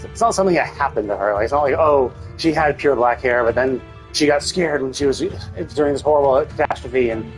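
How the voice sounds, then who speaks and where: A man's voice: medium pitch, brisk, poor audio. A man giving casual, sweary live game commentary as a streamer, puzzling things out aloud. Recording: game music behind the voice.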